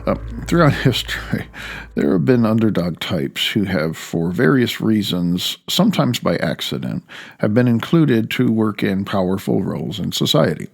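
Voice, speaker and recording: A man's voice: on the low side, big pitch swings, normal speed, gravelly, breathy edge. A man reading his own audiobook, with a dry, wry manner and rambling asides.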